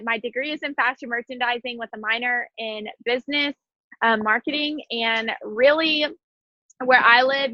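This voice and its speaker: A woman's voice: fairly high pitch, dry recording, highs cut off. A woman in her twenties, slightly stuffy from sneezing, chatting casually about her story on a video call from outdoors. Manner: chatty, giggly, many fillers. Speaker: guest speaker. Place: outdoors in the wind.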